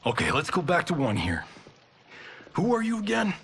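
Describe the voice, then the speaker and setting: An actor playing a cocky, glib Hollywood action star in a video game, with an American accent and a sarcastic, theatrical manner. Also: male voice, high voice, wildly swooping pitch, normal speed, vocal fry, fairly bright tone, a little hiss or hum, heavily acted. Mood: baffled, wry. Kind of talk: cinematic video game dialogue.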